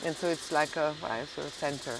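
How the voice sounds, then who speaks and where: A woman's voice: low-pitched, level tone, brisk, gravelly, slight background noise, poor audio. A middle-aged woman, a native French speaker from Belgium and southern France with a French accent, chatting casually in an interview and often searching for words. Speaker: interview guest, hotel and restaurant owner.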